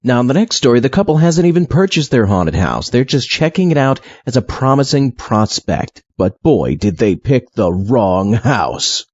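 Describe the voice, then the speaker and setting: A man's voice: mid-range voice, very animated, normal speed, hardly any pauses, darkish tone, no room sound, lo-fi sound. A man hosting an audio show, playful, in the style of a comedy skit. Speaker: host. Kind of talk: playful host talk and comedy skit acting.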